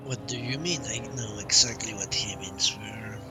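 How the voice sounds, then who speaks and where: A man's voice: on the low side, moderate pace. A man streaming a game with casual, offhand, sardonic commentary, reading dialogue choices aloud. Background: game music.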